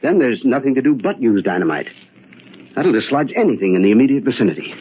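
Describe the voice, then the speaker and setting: An actor playing an earnest, studious nephew in a radio drama, sober and questioning with a husky voice. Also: male voice, mid-range voice, sing-song, quick pace, very noisy, lightly acted. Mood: concerned, sober. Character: earnest, troubled nephew, a studious young man. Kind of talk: old-time radio drama acting. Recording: dull, lo-fi radio drama recording.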